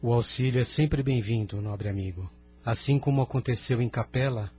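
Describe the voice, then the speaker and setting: An actor plays a grave, courteous male spirit envoy with a husky, mid-pitched voice. His Brazilian-accented delivery is formal and measured, over faint music. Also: a little mellow, close to an everyday voice. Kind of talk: audio drama acting.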